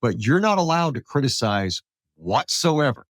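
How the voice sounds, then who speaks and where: A man's voice: medium pitch, very animated, moderate pace. American guy hosting a podcast, medium voice, no room echo, chatty, repeats words and stumbles along. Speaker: podcast host. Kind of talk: casual political conversation.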